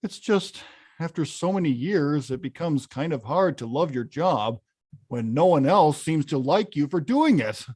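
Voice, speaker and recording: Big grumbling game villain with swooping pitch, acted out. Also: expressive, unhurried, dark tone, breathy edge. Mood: resigned, wistful. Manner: conversational, grumbling, bursts into bellows. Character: huge hot-tempered male arcade-game villain, weary, wry, self-pitying. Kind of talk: table-read acting, confessional voice-over.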